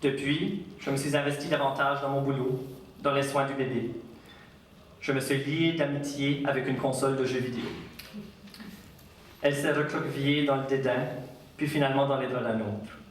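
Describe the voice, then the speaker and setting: A man with an Acadian French accent, an author giving a public talk and reading his own writing in a measured, unhurried way. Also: medium pitch, steady tone, slight background noise. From Acadia, Canada. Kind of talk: lecture and literary reading. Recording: very echoey hall, distant mic.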